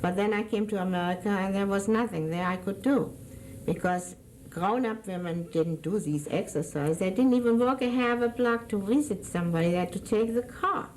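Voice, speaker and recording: A woman in her sixties with a German accent, born in Germany, recalling her past in an oral history interview, often pausing and searching for words. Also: mid-range voice, darkish tone, a little hiss or hum, rough recording.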